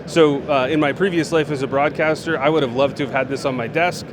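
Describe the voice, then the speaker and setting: A man who works as a product manager, answering interview questions on a trade show floor in a relaxed way, with plenty of fillers and restarts. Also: fairly high pitch, fast talker, background noise.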